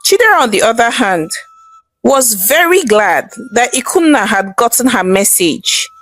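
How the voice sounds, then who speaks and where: A woman's voice: fairly high pitch, lively intonation, measured pace, a bit bright, faint music behind, poor audio. A woman narrating a scripted folktale in a dramatic storytelling style.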